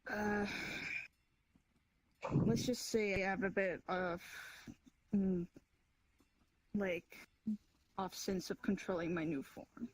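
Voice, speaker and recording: Woman acting a werewolf wanderer, husky voice, medium pitch, guarded, reticent, online roleplay game. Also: fairly even intonation, slow pace, pauses often, low-quality recording. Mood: hesitant, guarded.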